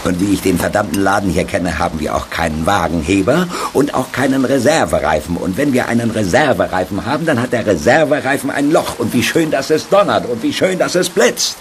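An actor playing a grumpy, sarcastic reporter who grumbles and swears in a German radio play. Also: male voice, lowish voice, expressive, a little fast, hardly any pauses, slight background noise, close to an everyday voice. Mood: sarcastic, grumbling. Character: grumpy, sarcastic male newspaper reporter, tired and bantering.

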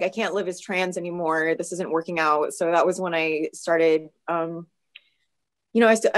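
Raspy hoarse woman, 30s, lowish voice, lots of ums and restarts, video call at home. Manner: thoughtful, many fillers and restarts. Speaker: guest. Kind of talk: casual video-call interview. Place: home room. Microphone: video call webcam.

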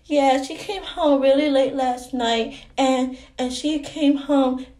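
A woman's voice: sits high, fairly even intonation, slow pace, a little mellow. A woman voicing an innocent, chatty little girl who tattles in rambling, naive childlike talk for a comedy skit. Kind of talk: comedy skit phone calls.

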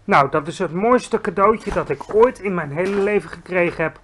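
A man's voice: high-pitched, moderate pace, fairly bright tone, a little hiss or hum. A man voicing a kindly, timid but proud giant who speaks earnestly and stumbles over his words in a storybook reading. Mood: beaming, delighted.

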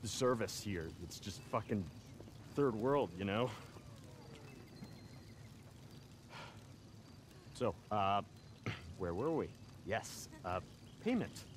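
A man's voice: lowish voice, lively intonation, a little hiss or hum. Swaggering, spoiled young rich guy. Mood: irritated. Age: young adult. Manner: halting, profane, cocky. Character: spoiled, foul-mouthed young rich party boy. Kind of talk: video game cutscene acting.

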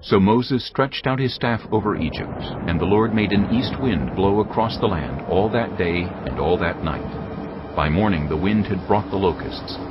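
A man narrating a dramatized audio Bible in a grave, measured reading. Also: sits low, dull audio.

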